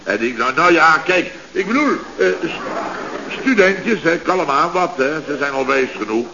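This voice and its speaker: An actor playing a blustering, scatterbrained middle-aged boss in a radio comedy, rambling self-importantly with stammering restarts and repetitions. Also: male voice, on the high side, animated, unhurried. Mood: pompous reminiscing. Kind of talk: 1970s radio comedy acting. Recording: hissy, muffled old radio recording.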